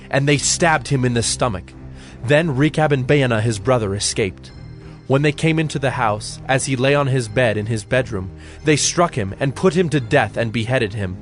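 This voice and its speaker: Formal male scripture narrator over music. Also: medium pitch. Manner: measured, formal.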